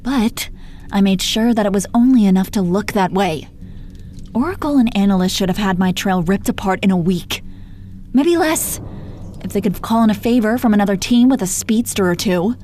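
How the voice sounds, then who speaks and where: A woman's voice: mid-range voice, moderate pace, mellow, a little hiss or hum, natural voice. A woman playing a gloating, menacing female supervillain in a roleplay audio, condescending, sardonic and exasperated, with heavy swearing. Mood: condescending taunting. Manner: taunting, condescending pet names, heavy swearing. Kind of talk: dramatic roleplay audio monologue.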